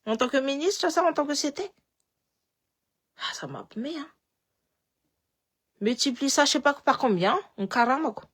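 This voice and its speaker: A woman with a slightly high voice and a light Malagasy accent talks loosely and with energy. She often repeats her words and restarts as her thoughts run on. The delivery is casual, like a live talk to followers. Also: normal speed, long pauses. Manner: animated, repeats words, switches between languages. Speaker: political commentator.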